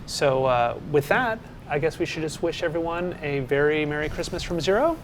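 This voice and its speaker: A man co-presenting a developer show, chatting in a relaxed way about software partners. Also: fairly high pitch, normal speed, slight background noise, poor audio. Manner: relaxed, chatty with filler words. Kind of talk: casual chat. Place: studio set.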